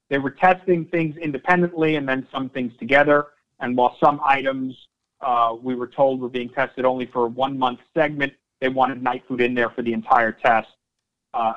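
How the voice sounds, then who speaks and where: Guy exec answering investor questions, medium pitch, stresses points by repeating, kinda muffled call audio. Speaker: company chief executive answering investor questions. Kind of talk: investor conference call remarks, semi-prepared. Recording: dull, band-limited conference call audio.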